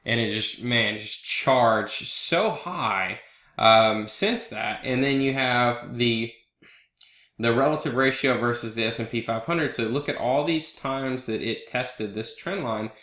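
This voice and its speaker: A man's voice: mid-range voice, slow pace, sharp tone, echoey room. A man with a head cold, sniffling a little, talking through stock charts in a loose, conversational way as the host of his own video blog. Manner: conversational, loose, with fillers and asides like "right?". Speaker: trader hosting a market analysis video blog. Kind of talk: casual chart walkthrough over a screen recording.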